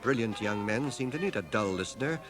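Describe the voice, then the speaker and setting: An actor playing a dry, self-deprecating bookish man who narrates his memoirs in a measured, literate radio drama style. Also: medium pitch, lively intonation, music in the background, lightly acted. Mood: wry, reflective. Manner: measured, literate, wry delivery. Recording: 1980 radio drama, home cassette transfer.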